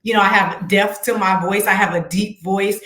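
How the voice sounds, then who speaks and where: A woman's voice: medium pitch, fairly even intonation, moderate pace, a bit bright. Earnest woman in an echoey room. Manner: earnest, reflective storytelling; faith-filled speech. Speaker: singer and guest. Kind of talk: casual interview chat.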